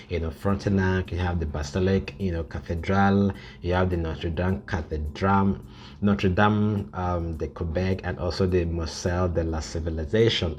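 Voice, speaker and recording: A man presenting an informal informational list video, speaking haltingly with frequent filler phrases. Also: low-pitched, a little mellow, a little hiss or hum. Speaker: YouTube presenter.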